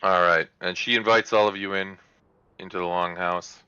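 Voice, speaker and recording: Very deep-voiced man running a game. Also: fairly even intonation, moderate pace, sharp tone, clean tone, no echo, lo-fi sound. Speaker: game master. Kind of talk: narrating a tabletop roleplaying game.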